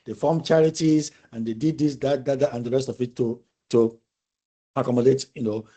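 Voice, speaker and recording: A man leading a university class discussion with a warm, dark voice at a middle pitch. He talks thoughtfully, often repeating and restarting phrases as he works through an idea. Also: steady tone, fairly quick. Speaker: university lecturer. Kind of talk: conversational online class discussion.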